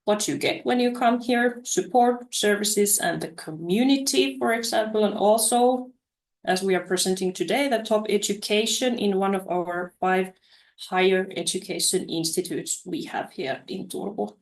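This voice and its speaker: A woman presenting study opportunities over a webinar, halting, with many fillers and restarts. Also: mid-range voice, echoey room, poor audio.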